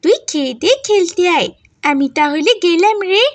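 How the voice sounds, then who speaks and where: A woman voicing a friendly, polite little village girl, a playmate in an animated moral story. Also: very high-pitched, moderate pace, no room sound. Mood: friendly, chatty.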